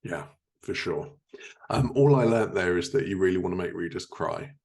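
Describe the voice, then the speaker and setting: Male podcast host. Gravelly, slightly low voice; casual, wry, teasing interjections. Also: moderate pace, darkish tone. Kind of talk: casual chat, brief interjections.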